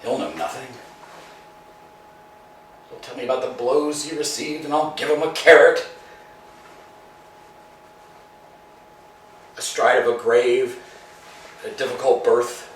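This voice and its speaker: An actor playing an anxious, philosophizing tramp in a staged reading, swinging from hushed musing to emphatic outbursts. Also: male voice, mid-range voice, steady tone, moderate pace, pauses often, a little hiss or hum, lightly acted. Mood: brooding. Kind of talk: amateur staged play reading. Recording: echoey room, distant mic.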